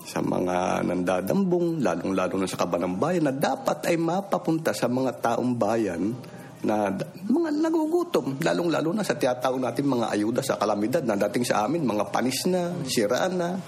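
A man's voice: medium pitch, big pitch swings, moderate pace, vocal fry, a little mellow. A man in his fifties with a Filipino accent, giving a plain-spoken, conversational personal testimony and repeating phrases for emphasis. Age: 50s. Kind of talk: personal testimony, informal storytelling. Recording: noisy field recording with ambient sound.

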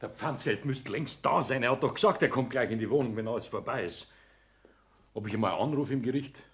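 A man's voice: mid-range voice, fairly quick, fairly bright tone, a little hiss or hum, close to an everyday voice. A man acting a nervous, fretful character in a 1960s German radio play. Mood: anxious. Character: nervous, fretful man awaiting a court ruling.

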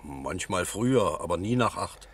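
Husky, lively-pitched village farmhand. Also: male voice, normal speed, heavily acted. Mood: uneasy. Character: village cattle-barn worker, startled but helpful witness. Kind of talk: German radio crime drama acting.

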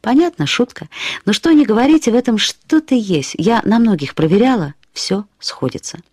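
Актриса изображает ветреную, хвастливую и самоироничную женщину, молодую душой, театрально и игриво, как в радиоспектакле. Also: female voice, fairly high pitch, expressive, moderate pace, darkish tone, breathy edge, no echo, voice pushed up. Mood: triumphant, teasing. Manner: theatrical, boastful, playfully emphatic. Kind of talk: radio drama character acting. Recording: studio radio play with faint music.

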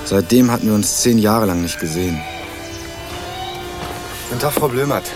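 Actor as low-voiced, matter-of-fact young male investigator. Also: animated, moderate pace, over music, lightly acted. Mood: measured narration. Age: young adult. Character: earnest, dry young male student turned amateur investigator. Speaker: narrator and lead character. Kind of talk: radio crime drama, first-person narration and dialogue.

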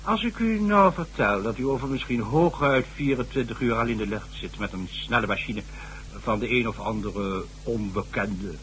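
An actor playing a shrewd, authoritative high-ranking government official in a radio drama, talking in a smooth, calculating way. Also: male voice, normal speed, a bit bright, a little hiss or hum, close to an everyday voice, rough recording. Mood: scheming. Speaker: statesman addressed as excellency. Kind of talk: 1970s radio drama acting.

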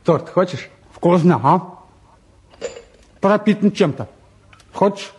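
An actor playing a glib, restless young school-leaver in a radio play, bantering and expressive. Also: male voice, high-pitched, slow pace, slight background noise. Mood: breezy, teasing. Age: young adult. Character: glib, restless, joking city school-leaver, warm-hearted idler. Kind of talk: stage-trained radio play acting.

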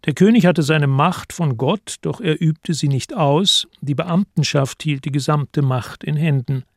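An actor as a sober chronicler, giving composed, factual, literary narration in a radio play. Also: male voice, fairly high pitch, unhurried, creaky, no room sound, clean studio sound. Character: sober male chronicler of royal history. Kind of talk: scripted narration.

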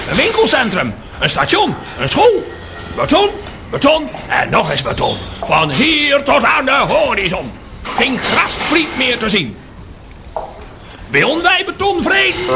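An actor playing a furious cow who rallies the herd in a theatrical radio play monologue, declamatory and full of rhetorical questions. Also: male voice, high-pitched, creaky, background noise, exaggerated voice. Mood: rousing, indignant. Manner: declamatory, rousing, rhetorical questions and repeated refrains. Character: furious cow rallying the herd against city builders.

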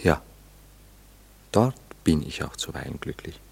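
An actor playing a courteous, reserved gentleman in a radio play, speaking in a polite, reflective and evasive way. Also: a bass voice, animated, unhurried, gravelly, slightly rough, full character voice. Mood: reflective, dreamy. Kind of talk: intimate radio play dialogue.

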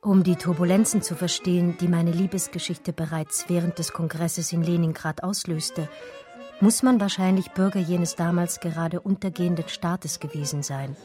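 Actress as a learned lady art historian telling her story, lowish mellow voice, radio play narration. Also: steady tone, soft background music, lightly acted. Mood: reflective narrating. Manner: measured, eloquent, scholarly. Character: educated German art historian recounting her love story. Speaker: narrator and lead character. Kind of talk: literary radio drama narration.

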